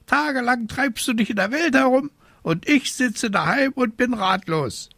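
A man voicing a fussy, scolding talking raven in a croaky, indignant storybook performance. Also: extremely high pitch, unhurried, bright, forceful tone, dry recording, voice pushed up, heavily acted. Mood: scolding, alarmed. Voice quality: croaky, squawking. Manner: indignant, nagging.

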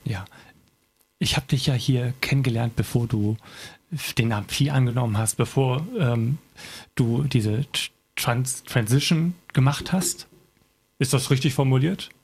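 A man's voice: medium pitch, a little slow, darkish tone, breathy edge. A man interviewing in a casual, hesitant way, searching for the right wording.

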